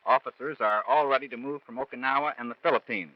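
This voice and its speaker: A man's voice: medium pitch, quick pace, a little hiss or hum. An American man reporting news in a 1940s radio style. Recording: dull vintage 1940s radio broadcast.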